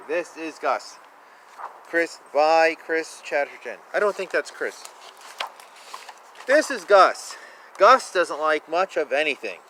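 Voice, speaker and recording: A man reading children's picture books aloud in a playful, expressive way, with casual asides and repeated phrases. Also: a little high. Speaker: children's book reader. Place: outdoors. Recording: noisy outdoor ambience. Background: outdoor ambience, animal and vehicle sounds.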